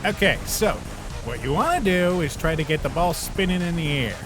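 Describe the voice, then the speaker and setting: An easygoing, joking skeleton big brother played by a man with a fairly high, very lively voice. He talks casually and reassuringly over background music, like a cartoon comic dub. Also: normal speed, lightly acted. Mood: easygoing, encouraging. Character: laid-back, wisecracking skeleton big brother. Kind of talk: animated comic dub acting.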